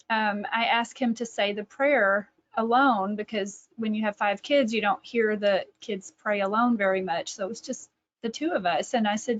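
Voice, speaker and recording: A middle-aged woman with a Texas accent, telling a personal story in a presentation, with many fillers and restarts. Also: fairly high pitch. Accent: Texan. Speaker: author and catechesis presenter. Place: home room, indoors. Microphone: webcam on video call. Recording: dull lo-fi webcam audio.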